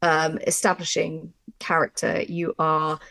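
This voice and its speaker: A woman's voice: low-pitched, completely flat delivery, measured pace, gravelly, fairly bright tone. A woman talking casually and emphatically as a writer explaining her craft in a workshop discussion. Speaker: audio drama writer.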